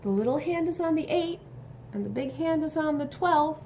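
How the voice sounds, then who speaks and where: A woman reading a picture book aloud to young children, expressive and instructive, pausing to ask questions and add asides. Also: sits high, slight background noise, low-quality recording. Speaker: storybook reader.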